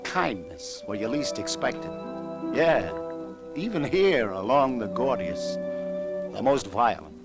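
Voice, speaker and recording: A wistful noir detective over music. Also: male voice, mid-range voice, sing-song, takes it slowly. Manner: hesitant, folksy, wistful, plainspoken. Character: world-weary, kindly New York police detective. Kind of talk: 1940s noir radio drama acting and narration. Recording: vintage radio drama with loud music.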